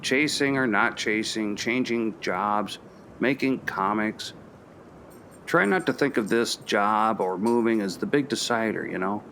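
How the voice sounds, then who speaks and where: A calm sympathetic professor in a radio drama, lowish voice, measured, bit of noise behind. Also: male voice. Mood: reassuring. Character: sympathetic, level-headed male professor giving counsel. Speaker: actor in a radio play. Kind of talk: naturalistic radio drama dialogue.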